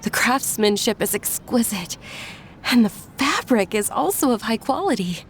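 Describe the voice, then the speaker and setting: An actress voicing a gentle, caring young noblewoman in a video game, soft and eager in her speech. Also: a little high, very animated, normal speed, slight background noise.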